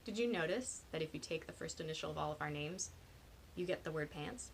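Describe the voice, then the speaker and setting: A woman's voice: deep voice, a little fast, vocal fry, a little hiss or hum. A woman voicing an eager, earnest teenage girl who rambles enthusiastically in an audiobook. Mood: eager, organizing.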